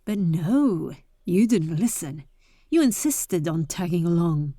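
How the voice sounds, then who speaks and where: A woman playing a bold, impatient but caring adventurer in an intimate roleplay audio drama, with breathy, teasing, exasperated delivery. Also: on the low side, lively intonation, slow, mellow, no room sound, clean studio sound, heavily acted.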